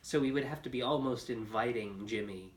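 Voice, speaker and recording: A man casually discussing a story, thinking aloud in halting, restarted phrases. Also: mid-range voice. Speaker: writer at an audio comedy script session. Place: indoor room, writing session. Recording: echoey room with faint noise.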